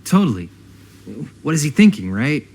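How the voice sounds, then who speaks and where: An actor playing a nervy, earnest young American brother in a video game drama, speaking casually and hesitantly, eager to please. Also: male voice, fairly high pitch, sing-song, normal speed, darkish tone. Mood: concerned.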